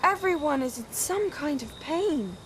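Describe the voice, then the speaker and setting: A woman's voice: sits high, animated, measured pace, very noisy. A woman playing an ambitious witch obsessed with forbidden power in a game cutscene, persuasive and self-assured. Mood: fervent persuasion. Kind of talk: dramatic game cutscene acting.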